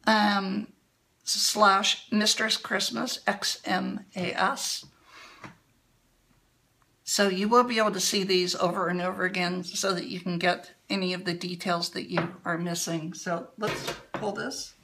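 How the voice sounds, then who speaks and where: A woman calmly explaining a hands-on demonstration at a table for a livestream, a little hoarse. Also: mid-range voice. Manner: calm, explanatory, unhurried. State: slightly hoarse. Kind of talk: instructional demo talk. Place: indoor room at a table.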